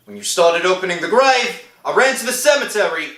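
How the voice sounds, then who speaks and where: A man's voice: high-pitched, expressive, a little fast, sharp tone. A man playing a wry, placating old gravedigger in a 1960s radio horror drama. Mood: grim, weary. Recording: old radio recording, echoey.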